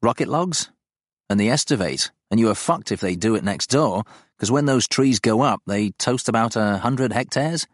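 A man voicing a gruff, irritable countryman who talks in blunt, colloquial phrases. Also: fairly low pitch, animated, moderate pace, no echo, studio quality, pitched above the performer's own voice. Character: gruff, irritable countryman, hard-drinking and foul-mouthed.

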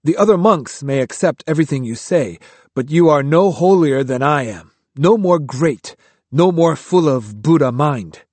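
A man's voice: medium pitch, huge pitch swings, slow pace, breathy edge, no room sound, heavily acted. A man voicing a restless male monk and former drunk, bitter and argumentative. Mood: angry.

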